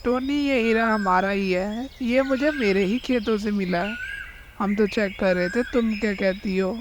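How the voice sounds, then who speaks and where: A man's voice: sits high, a little slow, background noise. A man playing a boastful, sly crow who farms in a children's cartoon, with a mocking manner. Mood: sly, taunting. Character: boastful, sly male crow, a rich farmer. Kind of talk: Hindi cartoon dubbing.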